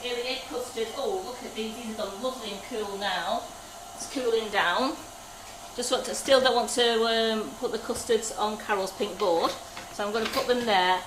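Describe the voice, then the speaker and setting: A woman's voice: fairly high pitch, moderate pace. A woman with a Manchester accent demonstrating a recipe live in a kitchen, talking through each step as she works. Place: home-style kitchen. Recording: echoey kitchen with background hum.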